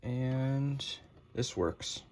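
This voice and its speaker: A man's voice: mid-range voice, a little mellow. A man giving a casual, informal hands-on DIY demonstration as a hobbyist. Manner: unhurried, informal. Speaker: hobbyist presenter. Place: his room at home. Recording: clearly noisy home recording with clicks.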